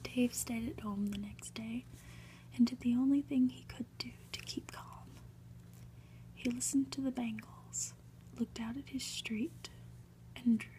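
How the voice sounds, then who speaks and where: A woman softly reading a story aloud in an ASMR style, in a gentle, measured manner. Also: a little high, steady tone, a little hiss or hum, low-quality recording. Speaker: ASMR reader.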